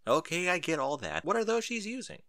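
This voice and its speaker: A brash young pegasus mare from a cartoon fan story, as a male reader plays her. She talks bluntly and casually, with animated pitch and a bright tone. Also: fairly quick, no echo, clean studio sound, exaggerated voice. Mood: confused, dismissive.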